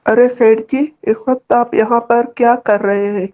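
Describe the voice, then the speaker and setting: A woman's voice: fairly high pitch, level tone, moderate pace, lightly acted. Lady doing poor honest farmer guy in a village cartoon, earnest, bit lo-fi. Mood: puzzled. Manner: earnest, pleading. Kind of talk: Hindi cartoon story acting.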